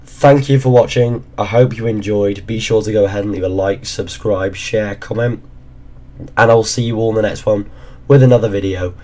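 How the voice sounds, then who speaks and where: A man with a hoarse, fading voice, talking through a casual gaming countdown in a rambling, informal way. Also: lowish voice, fairly even intonation, normal speed, darkish tone. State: hoarse, losing his voice. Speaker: gaming YouTuber. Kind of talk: casual ranking commentary.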